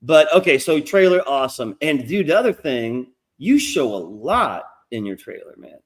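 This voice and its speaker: A middle-aged man with a nasal voice hosting a casual livestream interview, rambling and swearing freely as he gets animated. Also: on the high side, moderate pace. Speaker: podcast host.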